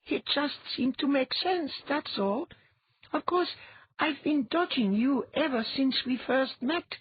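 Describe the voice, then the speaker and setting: A reader voicing a high, curious boy. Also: expressive, takes it slowly, no room sound, phone-quality sound. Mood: matter-of-fact. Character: eager, curious boy who reads minds.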